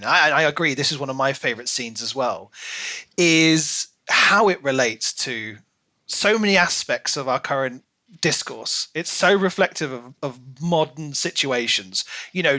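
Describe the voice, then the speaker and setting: Bright-voiced man chatting about books. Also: on the high side. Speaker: podcast co-host. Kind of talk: casual book discussion.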